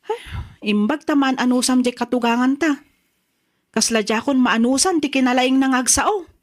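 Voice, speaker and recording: An actress playing a chatty, sympathetic in-law in a radio drama, gossipy and Ilocano-accented. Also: female voice, high voice, normal speed, close to an everyday voice.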